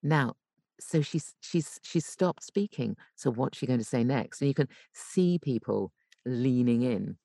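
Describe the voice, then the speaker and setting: A woman explaining conversationally as a podcast guest and voice coach, expressive and emphatic, using deliberate pauses. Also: extremely low pitch, gravelly, no echo.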